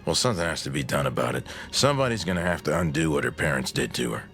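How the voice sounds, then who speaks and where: An American actor playing a stern, practical, middle-aged husband, blunt and insistent, in a radio drama. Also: male voice, extremely low pitch, lively intonation, quick pace, fairly bright tone, faint music behind, exaggerated voice. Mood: indignant, insistent. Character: stern, practical, impatient small-town husband.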